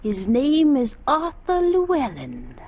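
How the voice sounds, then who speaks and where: An eerie, slow-spoken woman innkeeper. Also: high voice, wildly swooping pitch, full character voice. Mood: knowing, mysterious. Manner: slow, measured, enigmatic. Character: cryptic, eerie woman innkeeper of a haunted village. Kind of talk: 1940s radio drama acting. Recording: muffled old radio recording.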